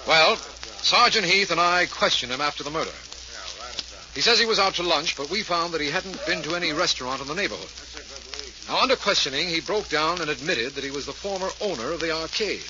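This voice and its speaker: An actor playing an earnest, authoritative district attorney, formal and clipped, in 1940s radio drama style. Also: male voice, a little high, animated, a little fast, close to an everyday voice. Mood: official. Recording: noisy, muffled 1940s radio recording.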